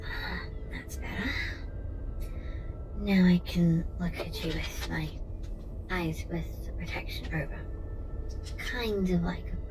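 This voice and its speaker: A woman playing a gentle, curious alien researcher in an ASMR roleplay, speaking softly and soothingly and often slipping into a whisper. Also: lowish voice, fairly even intonation, slow pace, long pauses. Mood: relaxed, chatty. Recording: roomy echo, distant mic, faint noise.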